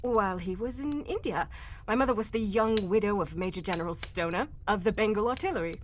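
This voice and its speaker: A woman playing an anxious young gentlewoman telling her family tragedy in a live old-time radio drama. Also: medium pitch, a little fast, slight background noise, natural voice. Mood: grave, troubled.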